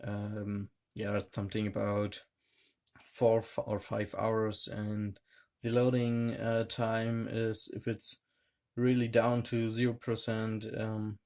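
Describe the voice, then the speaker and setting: A man reviewing a gadget hands-on, talking in a hesitant, halting way with many fillers. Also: on the low side, a little mellow. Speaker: tech reviewer. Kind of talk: hands-on product demonstration.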